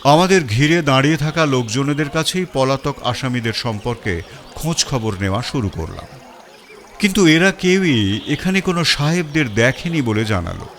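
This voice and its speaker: A man's voice: medium pitch, expressive, moderate pace, fairly bright tone. A man narrating a first-person detective story in a storytelling style.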